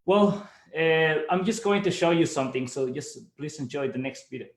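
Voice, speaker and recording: A fairly high-voiced young man from El Salvador presents a webinar over a computer mic. He talks loosely and peppers his points with fillers. His voice carries a noticeable echo from the room around him. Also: animated, moderate pace. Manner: casual, with many fillers like "like" and "you know". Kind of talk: online presentation. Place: home office, based in Germany.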